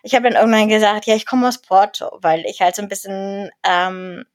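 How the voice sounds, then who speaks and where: A woman's voice: mid-range voice, a little fast, dry recording. Chatty German woman, dry close sound. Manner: rambling, many fillers and restarts, laughs often. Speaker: guest. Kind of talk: casual interview chat.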